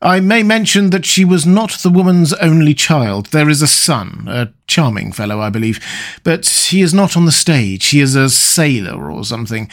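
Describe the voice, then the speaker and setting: A callous young gentleman of an audiobook classic, acted out by a male narrator, with a raised, highly animated voice. He is vain and languidly self-absorbed, and every phrase comes out affected and theatrical. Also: moderate pace. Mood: breezy, flippant. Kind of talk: dramatised audiobook reading of a classic novel.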